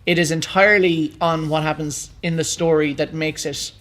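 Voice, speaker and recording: A man's voice: fairly high pitch, little pitch movement, moderate pace, fairly bright tone. A man co-hosting a podcast in casual, conversational chat about films and games. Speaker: podcast co-host.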